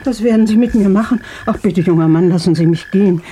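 An actress performs a frightened old woman held captive in her home, in a vintage radio drama. Her soft, mellow voice pleads in halting phrases over faint background noise. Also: medium pitch, lightly acted. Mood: pleading, fearful. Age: older. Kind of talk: German radio play acting.

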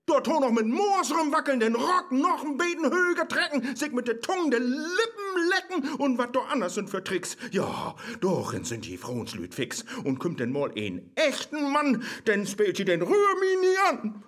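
An actor with a northern German accent playing a crude, scornful, self-pitying suitor in a verse radio play, ranting and whining. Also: male voice, very high voice, very animated, normal speed, few pauses, a bit bright, heavily acted. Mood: indignant, scornful.

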